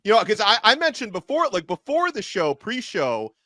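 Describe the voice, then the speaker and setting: A man's voice: high-pitched, sing-song, normal speed, bright, forceful tone. A man co-hosting a sports podcast, chatting playfully with stumbling restarts. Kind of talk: casual sports podcast chat.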